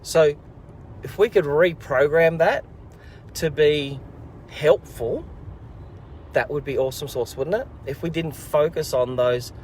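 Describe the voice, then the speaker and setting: A man's voice: fairly high pitch, measured pace, long pauses, darkish tone, slight background noise. A man, hosting a children's learning program and chatting casually to camera in an upbeat, rambling, encouraging way.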